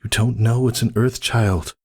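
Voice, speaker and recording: Man voicing an anxious high-pitched pegasus mare nursemaid. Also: steady tone, moderate pace, darkish tone, husky. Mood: anxious pleading. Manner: urgent, pleading. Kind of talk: dramatic fan fiction reading.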